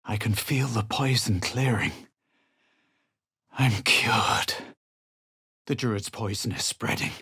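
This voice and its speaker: A man playing a proud, haughty adventurer in a fantasy video game, speaking in a measured, dramatic, superior way. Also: fairly low pitch, bright, forceful tone, husky, no room sound, clean studio sound, full character voice. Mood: wary, superior. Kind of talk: fantasy video game voice lines, narration and incantations.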